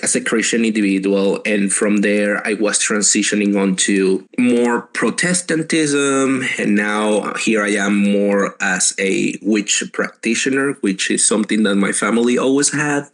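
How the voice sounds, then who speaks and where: A man's voice: mid-range voice, a bit bright. A man in his late twenties from Venezuela, speaking English with a Spanish accent as a guest in a casual conversation, thoughtful and searching for words. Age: late 20s.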